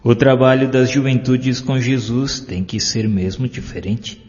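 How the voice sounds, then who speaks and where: A Brazilian man reads an inspirational story with solemn, measured phrasing. His voice sits at an average male pitch. The recording is dull and lo-fi, washed in long reverb. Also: a little mellow. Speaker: audiobook narrator. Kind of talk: scripted inspirational story narration. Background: music.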